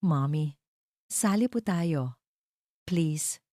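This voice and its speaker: A woman's voice: low-pitched, animated, very slow, warm tone, dry recording, studio quality, full character voice. A woman voicing an eager, polite young boy in an audio love drama. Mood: curious, pleading.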